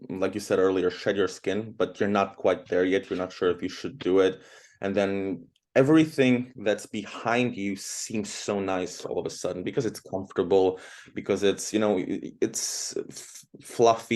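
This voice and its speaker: A man's voice: on the low side, a little mellow. A man from Croatia in his thirties, a guest in an interview, talking casually and at length with many fillers. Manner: chatty, rambling, frequent fillers.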